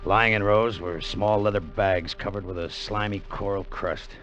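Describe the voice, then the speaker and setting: An actor playing an excitable American salvage crewman and gambler who narrates his adventure in 1950s radio drama style. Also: fairly low pitch, moderate pace, muffled recording, close to an everyday voice. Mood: wry, hushed narration. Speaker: narrator and character. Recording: vintage radio with music behind.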